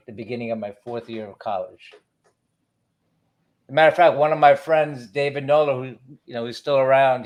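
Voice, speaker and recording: A middle-aged man with a medium-pitched voice reminisces as a DJ guest in an interview. He is relaxed and anecdotal, halting now and then as he recalls things. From born in Cuba, moved to the United States as a child. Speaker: DJ, podcast guest.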